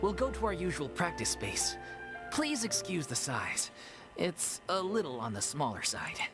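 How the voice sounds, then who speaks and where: A woman's voice: low voice, big pitch swings, measured pace, full character voice. A woman playing a polite, earnest organiser of a theatre troupe in a video game, explaining things courteously. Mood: apologetic. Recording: clear music behind the voice.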